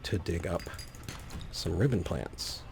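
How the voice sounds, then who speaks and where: A man's voice: sits low, animated, takes it slowly, vocal fry, noisy background. A man giving casual, easygoing gameplay commentary, talking through his actions step by step. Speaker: let's play host.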